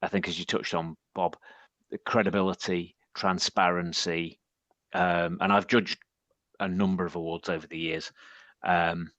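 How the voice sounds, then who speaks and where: Hoarse, deep-voiced man with a husky rasp; meandering podcast guest on a dry, muffled line. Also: flat delivery, measured pace, a bit bright, no echo. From northern England. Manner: rambling, many restarts. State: hoarse from shouting. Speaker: podcast guest, agency head. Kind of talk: casual panel chat.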